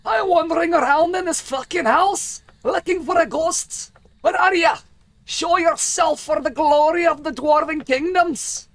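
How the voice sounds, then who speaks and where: A man voicing a loud, declaiming dwarf adventurer in a game, with a hoarse, throaty voice and a mock dwarf accent. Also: very high voice, unhurried, no room sound, pitched above the performer's own voice. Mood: bellowing, defiant. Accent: put-on mock dwarf accent. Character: hoarse, bellowing dwarf adventurer hunting a ghost.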